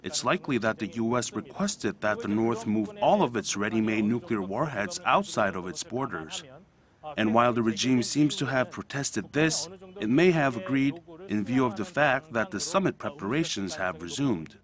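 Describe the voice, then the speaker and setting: A man speaking as an interviewed expert, explaining in an analytical, even manner. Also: fairly low pitch, normal speed. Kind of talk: news interview soundbite.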